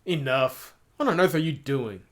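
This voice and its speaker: A man's voice: mid-range voice, animated, normal speed, a bit bright, a little hiss or hum, lightly acted. A man voicing an indignant, haughty female housekeeper with a scolding, formal, exasperated manner in a visual novel.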